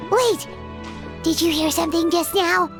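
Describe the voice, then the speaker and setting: Squeaky, excitable little fairy companion. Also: female voice, slow, music in the background, full character voice. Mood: curious, uneasy. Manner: speaks of self in third person, exclamatory. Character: small excitable childlike fairy companion, easily spooked. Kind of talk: video game voice acting.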